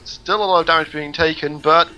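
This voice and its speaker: A man giving brisk play-by-play commentary on a video game match. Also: on the high side, steady tone, bright, forceful tone. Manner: brisk, descriptive play calling.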